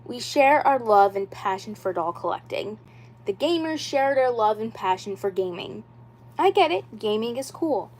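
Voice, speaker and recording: A woman's voice: fairly high pitch, expressive, normal speed. A woman in her twenties talking to viewers of her livestream about collecting dolls. She rambles, repeats herself, and leans on "like" and "you know" as she insists on her point. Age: 20s. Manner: rambling and repetitive, with many "like" and "you know" fillers; earnest and insistent. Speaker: doll YouTuber. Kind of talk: casual livestream talk.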